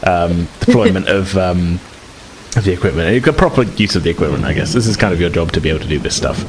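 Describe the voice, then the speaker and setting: A man running a tabletop roleplaying game as game master, improvising narration and haltingly repeating words as he thinks. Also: low-pitched, lively intonation. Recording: faint rain ambience noise.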